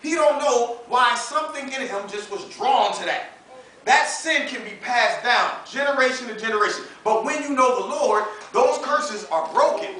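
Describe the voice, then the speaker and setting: A man's voice: very high voice, normal speed, sharp tone. A man in his thirties with an American accent preaching in an emphatic, colloquial style, full of rhetorical questions and acted-out dialogue. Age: 30s. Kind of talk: animated, conversational sermon. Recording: echoey room, distant mic, faint noise.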